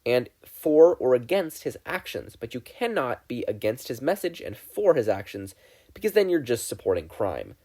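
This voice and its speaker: A man voicing a stern, deadpan male teacher with a drawl, addressing his class in a story reading. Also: medium pitch, moderate pace. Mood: stern, dry.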